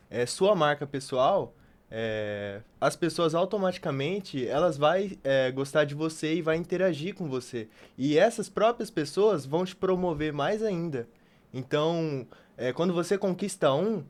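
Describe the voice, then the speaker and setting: Halting man with a higher-pitched voice. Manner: halting, hesitant delivery. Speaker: podcast participant. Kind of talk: casual conversation.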